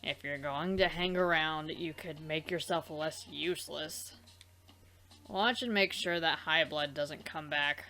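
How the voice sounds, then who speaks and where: A woman's voice: deep voice, measured pace, pushed and bright, heavily acted. A woman voicing a dry, dismissive young alien troll woman in a visual novel game dub. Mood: curt, sardonic.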